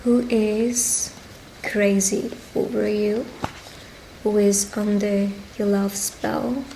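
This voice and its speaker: A woman's voice: mid-range voice, level tone, extremely slow, mellow. Woman giving tarot reading, noisy background. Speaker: tarot reader and roleplay host. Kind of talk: witch roleplay tarot reading addressed to the listener. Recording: clear background ambient noise.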